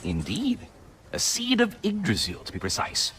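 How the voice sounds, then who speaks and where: An actor voicing a fussy, effusive talking squirrel with florid, genteel diction, like a refined gourmand in a video game. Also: male voice, high-pitched, wildly swooping pitch, normal speed, noisy background. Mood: genteel, theatrical. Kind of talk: video game character acting.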